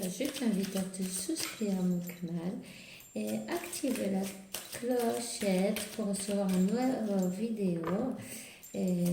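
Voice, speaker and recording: A woman with a slight cold and a hoarse voice, giving hesitant spiritual advice to viewers full of fillers and restarts. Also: medium pitch, background noise. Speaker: tarot and spiritual reader. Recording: roomy echo.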